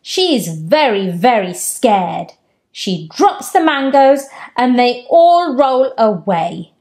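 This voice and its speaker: A woman in her thirties reading a children's picture book aloud at home, gently and expressively, lifting her voice for the characters' lines. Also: slow. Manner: gentle, expressive storytelling with raised-pitch character quotes. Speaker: storytime host. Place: home room with bookshelves, indoors.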